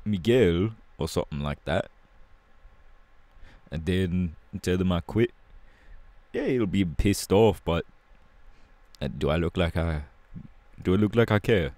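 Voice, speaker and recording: A low-pitched, cocky young punk hero from an audio roleplay, acted by a man, talks in a London accent. He is slangy, irreverent and laid-back, feeling his way through sentences. The sound is close and dry. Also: lively intonation, a little mellow, no room sound. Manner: casual, slangy, halting, irreverent.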